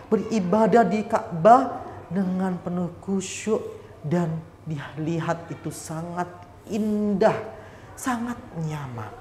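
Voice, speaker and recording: A woman telling a religious story to children, animated and speaking directly to her young listeners. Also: fairly low pitch, a little hiss or hum. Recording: echoey hall reverb.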